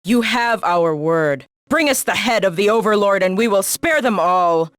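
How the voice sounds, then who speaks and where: A woman's voice: mid-range voice, expressive, moderate pace, dry recording, studio quality, close to an everyday voice. An actress playing a menacing female mutant warrior in a video game, with a theatrical, commanding and taunting delivery. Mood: cold, calculating. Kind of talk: video game cutscene acting.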